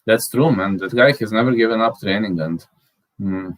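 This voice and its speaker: A man, a native Serbian speaker, chatting casually and reading out viewer comments, halting with fillers and restarts and spelling out words he can't pronounce. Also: on the low side. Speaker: channel host.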